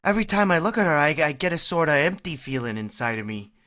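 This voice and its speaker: A woman's voice: low voice, big pitch swings, quick pace, a bit bright. A woman playing a bashful, stammering young man. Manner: stammering, timid. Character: bashful, tongue-tied young man of twenty-one. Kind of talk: vintage radio play acting.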